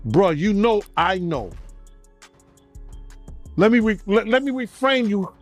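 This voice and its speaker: A man giving emphatic, casual commentary in a halting, deliberate manner. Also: sits high, lively intonation. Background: music.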